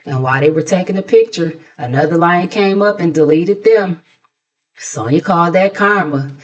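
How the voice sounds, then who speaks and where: An American woman hosting a gossip recap, chatting casually in a sassy, conversational way with mocking asides. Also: on the low side. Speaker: host of a gossip commentary channel.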